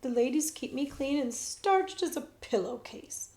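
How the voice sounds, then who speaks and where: A woman voicing a sheltered young prince who speaks softly and quietly. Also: sits high, lively intonation, a little slow, roomy sound, voice pushed up. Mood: wistful.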